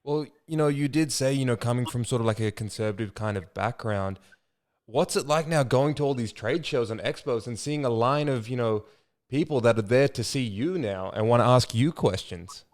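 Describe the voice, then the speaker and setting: A man's voice: mid-range voice, moderate pace. A man hosting a podcast, asking questions in an encouraging, affirming way. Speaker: podcast host, fitness coach. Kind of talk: casual interview chat.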